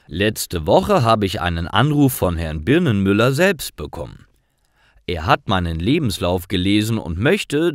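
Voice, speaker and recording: Male narrator for learner stories: deep-ish voice, dry close sound, deliberate delivery. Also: no echo.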